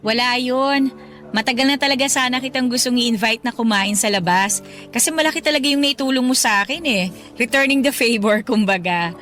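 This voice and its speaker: A woman's voice: a little high, brisk, a bit bright, soft background music, lightly acted. A woman playing a kind, encouraging schoolteacher who talks in a friendly, reassuring way in a radio drama. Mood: friendly, grateful. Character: kind, encouraging female schoolteacher, tactfully curious.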